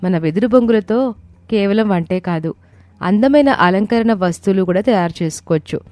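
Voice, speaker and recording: A woman's voice: medium pitch, moderate pace, darkish tone, no room sound, faint music behind, natural voice. A woman voicing an encouraging, resourceful young daughter-in-law in a moral story. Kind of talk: moral story character acting.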